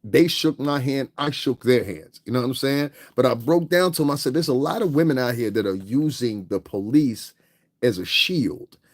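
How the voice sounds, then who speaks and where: A man tells a long story in a loose, animated way. He acts out the people in it with sudden leaps in pitch. His voice sits in a middle range and sounds close and dry. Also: normal speed, no echo. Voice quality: deep voice. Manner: animated, acts out others' lines with big pitch jumps. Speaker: vlogger. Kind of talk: casual storytelling rant. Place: home in Connecticut.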